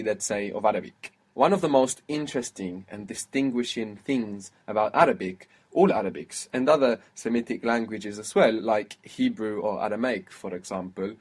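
A man teaching a one-on-one language lesson, patiently explaining and spelling out sounds slowly. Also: medium pitch, husky. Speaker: Arabic teacher.

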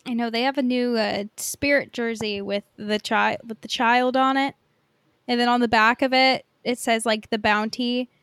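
A woman's voice: fairly high pitch, fairly even intonation, normal speed, a bit bright, clean tone, no echo. An American woman hosting a podcast in a casual, conversational way, often saying "like" as she goes. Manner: informal and conversational, peppered with "like". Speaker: podcast host.

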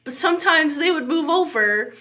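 A woman's voice: sits high, animated, moderate pace, bright, forceful tone. A young woman chatting casually as a podcast guest. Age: young adult. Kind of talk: casual chat.

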